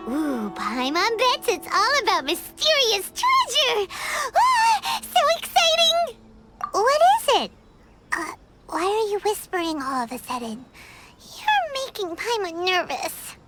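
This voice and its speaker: A squeaky little floating fairy buddy, slow bouncy delivery, calls herself by name. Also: female voice, big pitch swings, exaggerated voice. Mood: excited. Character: tiny floating fairy companion, chirpy, excitable, talks of herself in third person. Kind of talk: video game dialogue. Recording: game audio with loud music behind.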